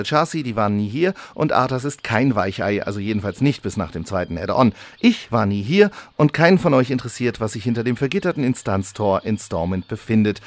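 Male agent for parody radio play, man acting: average pitch, pedantic, dry explainer, slightly halting. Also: close to an everyday voice. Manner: matter-of-fact, pedantic, hesitating. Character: smooth-talking, pedantic male memory-wiping agent. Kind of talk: parody audio drama acting.

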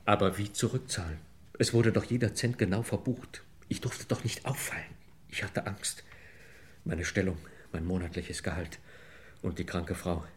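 A man playing a desperate clerk in his sixties in a mid-century German radio crime drama, halting, pleading and apologetic. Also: deep voice, steady tone, slight background noise, natural voice. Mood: anguished, confessing. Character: desperate, pleading 62-year-old male clerk confessing embezzlement.

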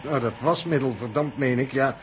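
An actor playing an earnest, bookish astronaut with formal, precise phrasing in a 1960s radio drama. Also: male voice, medium pitch, normal speed, slight background noise, natural voice. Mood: dry, earnest. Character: earnest, bookish male astronaut, clumsy in weightlessness.

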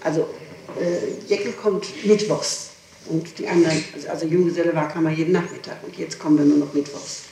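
A woman's voice: low voice, fairly even intonation, fairly quick, warm tone. A woman being questioned in a recorded interrogation, recalling things hesitantly, interjecting and correcting herself. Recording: echoey 1960s archive tape, some noise.

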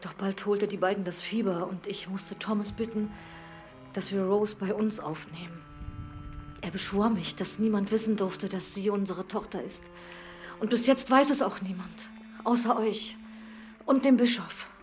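Hesitant, sorrowful widowed housekeeper, played by an actress. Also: female voice, mid-range voice, soft background music, natural voice. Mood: sorrowful confession. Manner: hesitant, hushed, deliberate. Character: widowed parsonage housekeeper, older, sorrowful, discreet, confessing. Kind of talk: radio drama acting.

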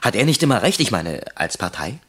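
Radio play: young male colleague, ironic and needling, husky, very animated delivery. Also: lowish voice, a little fast, heavily acted. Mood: mocking. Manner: ironic, teasing, mock-solemn. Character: Hopser, cheeky young needling office colleague. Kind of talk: German radio play acting.

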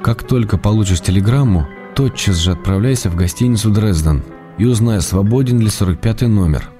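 Casting: a man playing an ailing writer in midlife, wry and intimate, lowish voice, reading love letters for radio over music. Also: fairly quick, dark tone, natural voice. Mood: wry, affectionate. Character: ailing middle-aged writer, tender, teasing, writing love letters.

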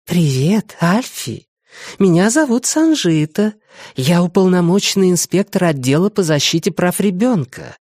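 A man doing a sing-song, breathy woman officer. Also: sits high, sing-song, measured pace, a little mellow, dry recording, studio quality, pitched above the performer's own voice, full character voice. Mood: cooing.

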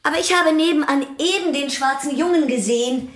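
A woman's voice: high voice, brisk, echoey room, close to an everyday voice. An actress playing a stern, sceptical, teasing woman in a radio drama, with a dry, pointed, questioning manner.

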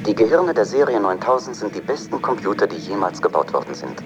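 A man playing a calm, softly menacing spaceship computer in a dubbed science-fiction film, clear and gently arrogant, with no electronic distortion. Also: lowish voice, quick pace, muffled recording, music in the background. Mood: smug calm. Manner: gentle, blasé, quietly arrogant.

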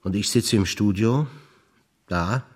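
An older man from Bavaria speaks remembered moments on stage in a low voice. His delivery is calm, understated and matter-of-fact. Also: takes it slowly, breathy edge. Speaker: stage actor. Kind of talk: scripted stage recitation of memories.

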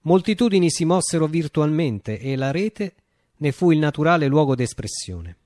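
A reflective revolutionary man tells his story in a theatrical radio monologue. His voice sits fairly high and moves through wide, expressive swoops. He phrases deliberately with dramatic pauses, and the recording is close and dry. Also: no echo. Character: reflective male revolutionary recalling a utopian Italian uprising.

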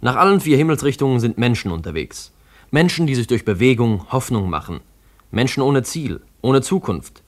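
A man's voice: medium pitch, big pitch swings, normal speed. A man delivering scripted narration in a declaimed style for a German radio drama.

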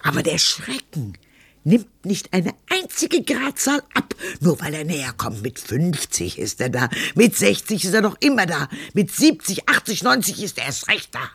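An actress playing a very old, irritable, death-haunted woman in a literary radio drama monologue, husky and theatrical. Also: on the low side, very animated, normal speed, fairly bright tone, no room sound, full character voice. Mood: dread, defiant. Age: older. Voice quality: gravelly, husky.

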